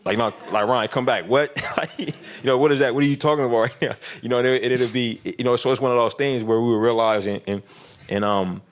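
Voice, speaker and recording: A man in his thirties talking casually in an interview, halting with frequent restarts and fillers, often laughing. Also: mid-range voice, steady tone. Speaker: film director guest.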